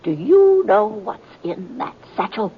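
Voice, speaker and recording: A woman with an American accent playing a fussy, scolding older landlady, husky and indignant, in a folksy Western radio drama. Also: medium pitch, big pitch swings, a little slow, slight background noise. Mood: triumphant, insistent. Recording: dull vintage radio recording.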